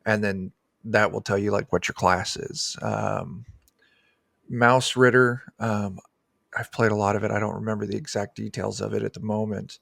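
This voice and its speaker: A middle-aged man explaining things casually and haltingly as an interview guest, speaking into a desk microphone. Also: fairly low pitch, little pitch movement, creaky, fairly bright tone, no room sound, studio quality. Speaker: guest, tabletop game designer. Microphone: desk microphone on a video call.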